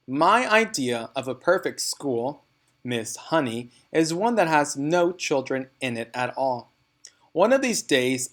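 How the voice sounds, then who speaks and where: A man's voice: mid-range voice, fairly bright tone. Man as storybook headmistress, tyrannical, child-hating, pompous, barking, emphatic, contemptuous.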